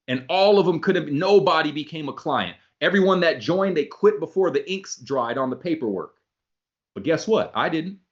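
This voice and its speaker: A man giving a motivational talk to a group, animated, acting out both sides of his stories. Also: fairly high pitch. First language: not English. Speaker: motivational speaker. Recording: dull lo-fi sound.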